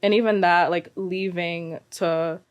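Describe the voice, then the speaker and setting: A woman's voice: lowish voice, steady tone, unhurried. A woman from Guyana who grew up in Brooklyn, chatting casually as a talkative podcast guest. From born in Guyana, raised in Brooklyn, New York from about eight. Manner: chatty, peppers talk with "like" and "you know". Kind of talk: casual chat.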